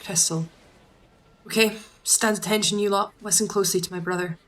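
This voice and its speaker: A woman's voice: medium pitch, steady tone, brisk, fairly bright tone, natural voice. A woman acting a composed, polite task force officer in an audio drama, speaking formally and earnestly. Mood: commanding.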